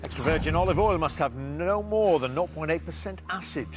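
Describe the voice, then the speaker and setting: Man hosting a TV quiz, higher voice. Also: lively intonation, noisy background. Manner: brisk, lightly teasing. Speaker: quiz show host.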